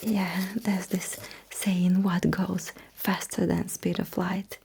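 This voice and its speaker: Female ASMR roleplayer as a polite, deadpan-witty battlesuit technician; whispered, unhurried delivery with some creak. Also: lowish voice, a little hiss or hum. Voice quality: breathy whisper. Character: courteous, dryly witty alien mecha technician. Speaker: ASMR performer.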